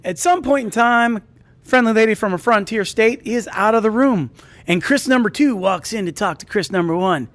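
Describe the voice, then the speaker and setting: A man's voice: sits high, normal speed, fairly bright tone, dry recording. A man telling a comedic personal story to an audience, rambling and interrupting himself with asides.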